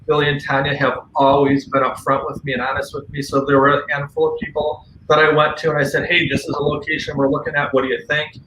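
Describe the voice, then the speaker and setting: A man's voice: fairly high pitch, completely flat delivery, fast, hardly any pauses, bright, forceful tone. A man talking casually and earnestly as a guest on a paranormal radio show, with firm opinions. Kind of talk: casual conversational talk. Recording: roomy echo, faint background noise.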